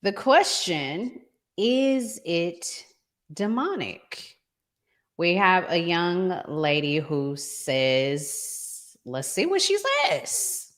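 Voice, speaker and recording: A woman's voice: lowish voice, very animated, very slow, fairly bright tone. An American woman hosting a casual talk show in a conversational way. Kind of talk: casual relationship talk show with sponsor read.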